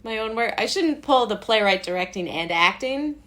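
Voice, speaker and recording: A woman's voice: fairly high pitch, moderate pace. An American woman talking casually as an interview guest, in a rambling way full of "like" and self-interruptions. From Brooklyn, New York, USA. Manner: rambling, animated asides with frequent "like" and self-interrupting laughs. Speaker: playwright, director and actor, interview guest.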